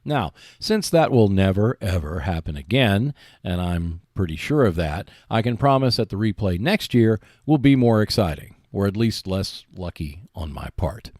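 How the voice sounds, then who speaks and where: A man hosting a solo podcast about board games, speaking in measured phrases with emphatic stresses. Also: fairly low pitch, sing-song, a little mellow, no echo, clean studio sound. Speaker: podcast host.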